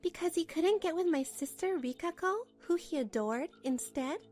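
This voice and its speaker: A woman's voice: extremely high pitch, animated, normal speed, darkish tone, soft background music. A woman narrating a manga dub as the wronged wife, in a chatty, dramatic inner monologue.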